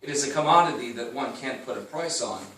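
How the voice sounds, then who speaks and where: A world-weary private detective from a 1930s noir radio play, acted by a man, musing in a wry, hard-boiled way. His pitch moves around a lot, and he sounds distant in a very echoey space over prominent music. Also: animated, fast, a bit bright, natural voice. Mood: guarded, wry. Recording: roomy, distant, music underneath.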